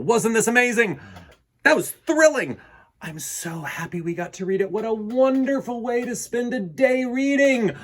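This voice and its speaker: A man hosting a children's storytime, reading and talking in a theatrical, expressive way with dramatic pauses. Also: on the high side. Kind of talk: animated storybook narration for children.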